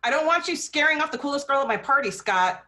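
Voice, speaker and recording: Comedy table read: female actor as bossy, surly young woman, sharp and scolding, forceful bright tone. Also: high voice, expressive, fast.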